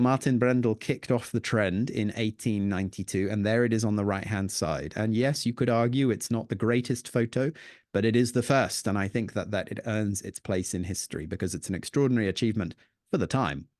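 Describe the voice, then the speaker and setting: A man giving an illustrated talk on the history of the northern lights, telling it as a lively story with playful asides. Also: fairly low pitch, dry recording, clean studio sound. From Danish heritage. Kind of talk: illustrated presentation with slides.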